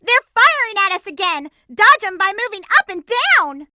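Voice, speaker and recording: A woman voicing an excitable, determined young girl heroine in a video game, with a girlish, perky, emphatic delivery. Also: moderate pace, dry recording, clean studio sound, heavily acted. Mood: excited, urgent. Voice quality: girlish, high-pitched. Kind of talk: animated video game character voice lines.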